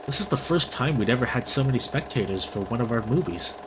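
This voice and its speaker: A man's voice: medium pitch, expressive, fast talker, noisy background. A man narrating a story aloud as a let's play host, sometimes stumbling over a line and rereading it.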